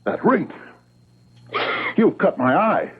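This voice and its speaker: A man's voice: fairly high pitch, big pitch swings, slow pace, slightly rough, exaggerated voice. An actor playing a menacing, suave man who taunts with mock politeness and lords it over others, in old-time radio drama style. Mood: pained fury. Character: menacing, mocking man lording it over his enslaved friend. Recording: muffled, phone-like old radio sound.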